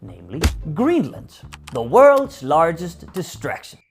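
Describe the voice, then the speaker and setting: A man's voice: a little high, huge pitch swings, unhurried, music in the background. A man from Denmark, a native Danish speaker with a Danish accent, delivering a scripted comedic address to the camera in a dry, sarcastic, mock-polite way. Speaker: satirical presenter.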